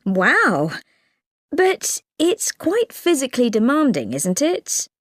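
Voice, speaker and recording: A woman's voice: high-pitched, wildly swooping pitch, slow pace, no room sound, studio quality, exaggerated voice. A woman acting a chatty, inquisitive, friendly mum asking for advice in a scripted exam dialogue. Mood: curious, interested.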